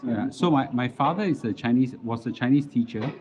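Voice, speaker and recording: A man's voice: medium pitch, darkish tone. Middle-aged Singaporean man, dull lo-fi sound. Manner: halting, repeats words as he goes. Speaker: livestream host. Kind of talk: casual livestream chat. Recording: dull lo-fi live stream audio.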